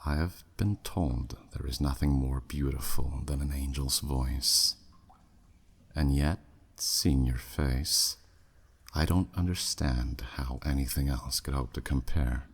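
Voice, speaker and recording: A man's voice: takes it slowly, full character voice. A man voicing a flirty, menacing demon who taunts a captive in a teasing, smug way, in a scripted ASMR roleplay. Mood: mocking, flirty. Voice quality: very deep, husky, breathy at phrase ends. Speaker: audio roleplay performer.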